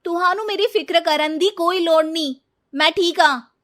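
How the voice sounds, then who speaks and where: A woman voicing a defiant, scheming young bride in a moral story, with a Punjabi accent and a sharp, dramatic delivery. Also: very high voice, normal speed, pitched above the performer's own voice. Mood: defiant, angry.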